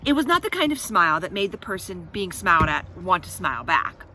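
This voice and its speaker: A woman reading a children's story aloud with expressive, dramatic emphasis and chatty asides to her listeners. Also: fairly low pitch, a little fast, a little hiss or hum.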